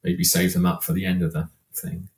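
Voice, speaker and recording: A man's voice: low voice, flat delivery, fairly quick, a little mellow. A British man aged sixty chatting casually as an interview guest, rambling and self-deprecating. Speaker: podcast guest, working function singer. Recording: roomy, echoing sound.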